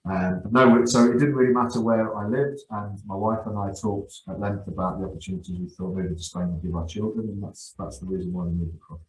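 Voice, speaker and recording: A man's voice: sits low, steady tone, quick pace, hardly any pauses, darkish tone. A middle-aged man, a youth football coach, talking enthusiastically in a casual podcast interview, often restarting and repeating words. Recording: echoey room.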